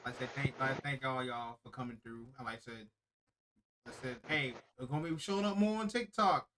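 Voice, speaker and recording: Bright, slangy podcast host. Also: male voice, mid-range voice, a little fast, a little hiss or hum. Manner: hyped, slangy, repeats "I ain't gonna lie". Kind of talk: casual podcast sign-off chat.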